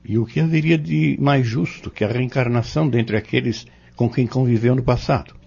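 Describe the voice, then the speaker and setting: A man with a Brazilian accent playing a calm, kindly pharmacist who teaches young men in a measured, solemn way in an audio drama. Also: mid-range voice, gravelly, slightly rough, lo-fi sound. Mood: solemn, teaching.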